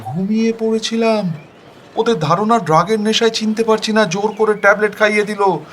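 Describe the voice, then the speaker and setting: A man's voice: sits high, fairly even intonation, moderate pace, slight background noise, pitched above the performer's own voice, lightly acted. A man playing a flustered, defensive husband, hesitant and protesting as he pleads his case.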